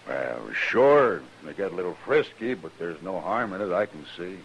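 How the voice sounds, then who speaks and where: An actor playing a gruff, aggrieved Texas trail boss in an old-time radio western, blunt and challenging, with folksy cowboy grammar. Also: male voice, deep voice, big pitch swings, moderate pace, heavily acted. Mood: indignant. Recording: dull, hissy old radio recording.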